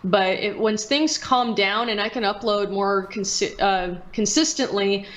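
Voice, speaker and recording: A woman's voice: mid-range voice, measured pace, fairly bright tone, rough recording. A woman chatting casually and candidly as a podcast guest, rambling as she goes. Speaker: podcast guest, video creator and streamer. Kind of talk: casual chat.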